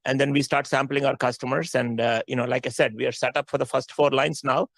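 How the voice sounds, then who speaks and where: Fast-talking executive man with creaky voice. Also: medium pitch, steady tone, a bit bright, no echo, clean studio sound. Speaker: company chief executive. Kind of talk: earnings call answers.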